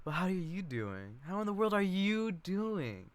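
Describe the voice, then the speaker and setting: A man's voice: sits high, big pitch swings, measured pace, voice pushed up. A man voicing a gushing, mocking busybody Southern woman from a stage play in a theatrical singsong. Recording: background music behind the voice.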